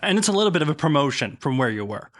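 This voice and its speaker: A man's voice: a little high, sing-song, very fast, fairly bright tone. A man hosting a history podcast, talking in an upbeat, enthusiastic way. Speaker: podcast host. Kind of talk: casual podcast chat.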